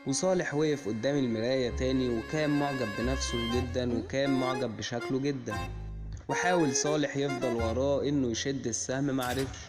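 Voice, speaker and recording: A man with an Egyptian Arabic accent retelling a cartoon story for children, in a plain, steady way that chains one event to the next. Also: medium pitch, fast. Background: music.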